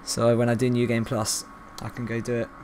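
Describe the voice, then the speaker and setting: A man's voice: mid-range voice, monotone, a little fast, darkish tone, a little hiss or hum. A man giving casual, chatty live commentary on a video game, reacting aloud and talking to his viewers. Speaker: streamer. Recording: game music behind the voice. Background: video game music and sound.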